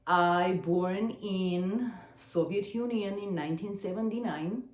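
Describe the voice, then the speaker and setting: A woman's voice: medium pitch, slow, darkish tone, roomy sound. Hesitant Armenian-accented woman in her forties. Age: 40s. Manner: hesitant, searching for words, frequent fillers. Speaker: singer and channel host. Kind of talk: personal vlog monologue.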